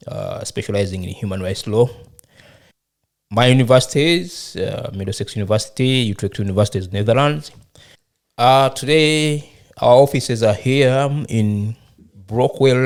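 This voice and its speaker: A middle-aged man from Uganda answering interview questions about himself, speaking unhurriedly with frequent pauses and fillers. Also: fairly low pitch, darkish tone. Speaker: guest, charity founder.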